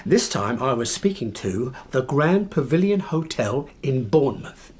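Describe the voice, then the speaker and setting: A pompous, excitable English patriarch in a radio play. His English-accented voice lurches up and down with domineering bluster. There is faint noise in the recording. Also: medium pitch, measured pace. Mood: breezy bluster. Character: domineering, pompous, volatile English husband and father. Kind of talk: radio drama acting.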